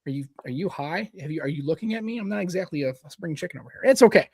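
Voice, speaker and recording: A man's voice: fairly high pitch, animated, very fast, a little mellow, slightly rough, no echo. Joking, profane middle-aged man. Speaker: podcast co-host. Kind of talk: casual chat.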